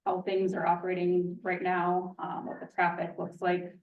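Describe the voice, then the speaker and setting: A woman engineer presenting a traffic study at a public meeting, with a quiet voice and a soft, explaining manner full of ums. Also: on the low side, monotone, normal speed, distant mic, phone-quality sound. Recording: roomy hall, from off the mic.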